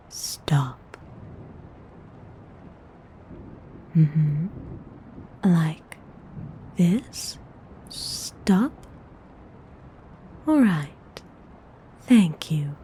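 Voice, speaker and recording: A woman voicing a gentle, courteous vampire noblewoman in an ASMR roleplay, whispering with breathy, husky, tender formality. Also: on the low side, lively intonation, a little hiss or hum. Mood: warm, attentive. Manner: slow, hushed, tenderly formal.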